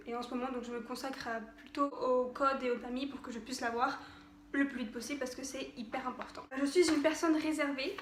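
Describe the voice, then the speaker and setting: A woman's voice: high voice, roomy sound, slight background noise. A young woman vlogging to camera at home, chatting casually with many hesitations and little laughs. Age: young adult. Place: home room with television on behind.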